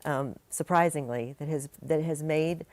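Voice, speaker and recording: A woman's voice: low voice, a little slow, darkish tone, no room sound. An older woman, a longtime news anchor, chatting and telling stories in an interview. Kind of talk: casual interview talk.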